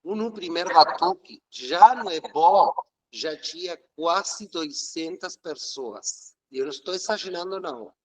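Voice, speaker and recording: A man's voice: fairly high pitch, expressive. A Uruguayan man, a native Spanish speaker with a Uruguayan accent, telling his religious life story in an earnest, reflective way as an interview guest. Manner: earnest, reflective, occasional halting restarts. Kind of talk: emotional personal storytelling.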